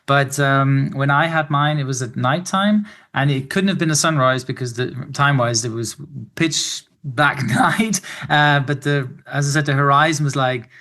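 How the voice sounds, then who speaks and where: A man's voice: mid-range voice, normal speed, sharp tone. A man giving casual, informal reaction commentary as a host, often breaking into a chuckle. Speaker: host reacting to recordings, pilot.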